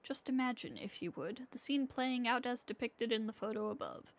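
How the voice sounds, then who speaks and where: An actress playing a formal, earnest young woman in a video game, speaking in a deliberate, articulate way. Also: fairly high pitch, lively intonation. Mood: dramatic reading. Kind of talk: video game acting, dramatic read-aloud.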